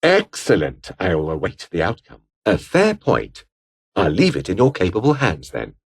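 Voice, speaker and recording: Game role: pompous, scheming British officer, coldly ambitious, with widely swinging intonation. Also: male voice, low voice, wildly swooping pitch, normal speed, gravelly, full character voice. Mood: conspiratorial, cold. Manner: pompous, formal, disdainful. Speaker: video game voice actor. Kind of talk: scripted game character dialogue.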